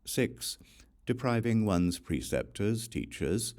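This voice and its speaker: A man reading scripture aloud as an audiobook narrator, measured and deliberate, with pauses between phrases. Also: lowish voice, very animated, a little mellow. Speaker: audiobook reader.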